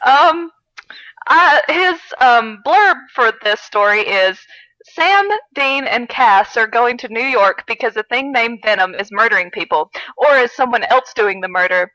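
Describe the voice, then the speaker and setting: A woman podcast host with a bright, fairly high voice. She is giggly and wry, moving between casual chat and comic reading aloud. The sound is close and dry. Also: expressive, measured pace, dry recording, dull audio. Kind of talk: comedic read-aloud of fan fiction with casual chat.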